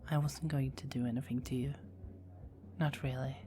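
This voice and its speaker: A woman playing a sultry, deep-voiced vampire. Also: steady tone, moderate pace, warm tone, a little hiss or hum. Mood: teasing whisper. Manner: teasing, close whispers. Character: seductive, dominant female vampire. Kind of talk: ASMR roleplay.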